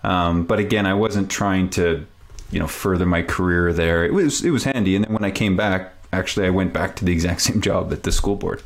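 A man in his forties from Canada with a low voice, whose native language is French. He chats informally as an interview guest, thinking things through as he goes. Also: a little fast. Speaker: podcast guest. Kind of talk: casual interview chat.

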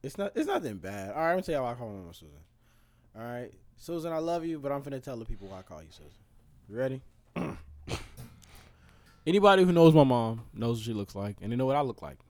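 A man's voice: mid-range voice, very animated, quick pace, pauses often, a little mellow, dry recording. A young man telling stories and joking animatedly as a podcast co-host. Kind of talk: casual storytelling banter.

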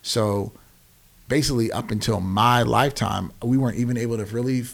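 A man's voice: mid-range voice, a little fast, gravelly. An American man in his forties explaining things conversationally as an interview guest, emphatically and often checking that the listener follows. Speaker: podcast guest, nonprofit founder.

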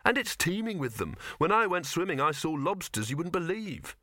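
Earnest young man, acted by a male reader, awkward, polite, puzzled, bright tone, storybook character. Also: fairly high pitch, sing-song, moderate pace, no echo, clean studio sound, pitched above the performer's own voice. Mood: wondering.

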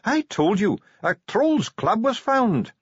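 Audiobook dwarf official, male reader acting: raised pitch, very animated swoops, pedantic, coldly condescending. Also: slow, husky, no echo, dull audio, exaggerated voice. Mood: venomous. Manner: pedantic, condescending, coolly needling. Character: haughty, calm, venomous male dwarf official of the underground.

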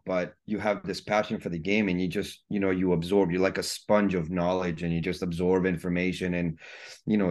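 Deep-voiced man from Guatemala, high school soccer coach guest, relaxed, repetitive. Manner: warm-hearted, repeats words, many "you know"s. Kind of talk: casual interview chat.

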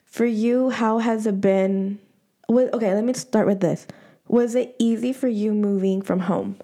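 A woman's voice: medium pitch, a little slow, mellow. A woman hosting a podcast in casual chat, talking loosely with lots of fillers and restarts. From United States. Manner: chatty, many fillers and restarts. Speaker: podcast host.

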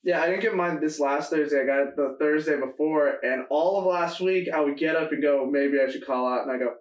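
A young man co-hosting a podcast, telling animated stories in casual chat and laughing often. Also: fairly high pitch, fairly quick, far from the mic. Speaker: podcast co-host. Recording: echoey room, away from mic.

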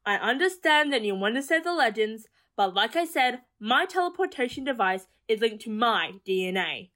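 A woman's voice: a little high, sing-song, moderate pace, a bit bright. An actress playing a firm, coolly dismissive woman in an audio drama, speaking in a matter-of-fact, slightly condescending way. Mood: firm refusal.

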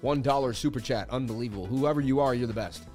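A man's voice: mid-range voice, fast talker, darkish tone, soft background music. A man hosting a crypto livestream, losing his voice, talking in hyped, boastful banter with his viewers. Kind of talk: casual livestream market commentary.

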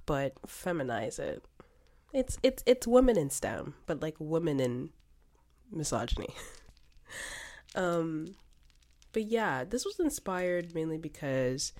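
A woman's voice: low-pitched, a little mellow. A young woman hosting a casual solo podcast, congested from a cold, rambling with many fillers and restarts. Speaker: podcast host.

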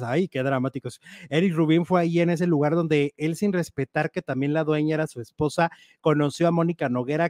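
A man co-hosting a casual gossip show, animated and chatty as he reads out and comments on news items. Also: a little high, no echo, clean studio sound.

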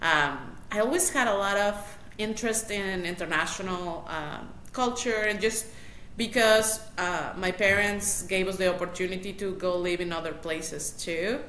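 A woman's voice: medium pitch, a little slow, a bit bright. A woman from Mexico City with a Mexican Spanish accent, chatting as an interview guest, laughing often and restarting her sentences. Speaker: guest, matchmaker. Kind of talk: casual interview. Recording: echoey room, faint noise.

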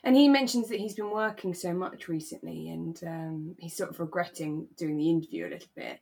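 A woman co-hosting a relaxed podcast chat about a radio drama, telling things in an animated, dramatic way. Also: fairly low pitch, a little fast, vocal fry. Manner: animated, dramatic storytelling with acted-out asides. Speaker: podcast co-host; actor.

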